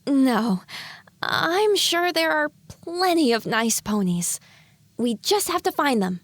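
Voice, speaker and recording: A woman's voice: high voice, sing-song, slow pace, no echo, full character voice. A woman voicing an anxious but hopeful young filly pony in an audio drama, questioning herself as she thinks aloud. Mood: hopeful, pleading.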